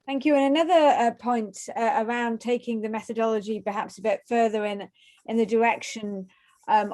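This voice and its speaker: A woman's voice: a little high, a little mellow. A woman moderating a webinar, reading an audience question aloud in a hesitant way, with many fillers.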